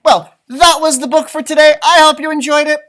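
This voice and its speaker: A man doing an expressive children's picture book read-aloud, with chatty asides and musing exclamations. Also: very high voice, a little fast. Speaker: storytime reader and elementary teacher.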